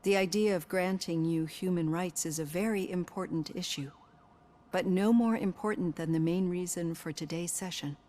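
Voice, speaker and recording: A woman acts out an analytical psychologist in a video game. Her voice is on the low side, composed and measured as she probes her patient with careful questions. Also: mellow, close to an everyday voice. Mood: measured, probing. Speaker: therapist. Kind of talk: scripted game dialogue.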